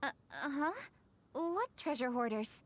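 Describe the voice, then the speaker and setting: A woman playing a composed, dutiful young female official in a video game, speaking politely in measured, formal phrases. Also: high voice, lively intonation, noisy background. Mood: startled. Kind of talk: video game cutscene acting. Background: music.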